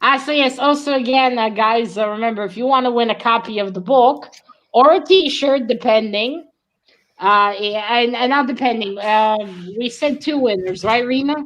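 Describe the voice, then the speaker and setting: Woman, show host, slightly high-pitched, casual and conversational, halting with repeated words. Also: fairly bright tone, rough recording. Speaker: host of a book read-along show. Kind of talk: casual chat.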